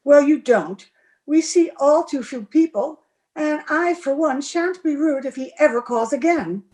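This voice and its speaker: A woman playing an earnest, defiant, smitten young gentlewoman with a prim manner in an old-time radio drama. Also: sits high, a little slow, rough recording. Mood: indignant, defiant.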